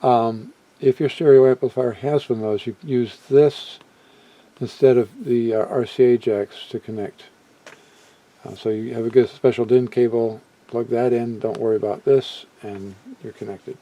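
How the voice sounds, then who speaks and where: A man presenting a hobby video in a casual, unscripted way, halting as he muses aloud and often trailing off mid-thought. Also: mid-range voice, steady tone, highs cut off. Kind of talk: casual unscripted product walkthrough.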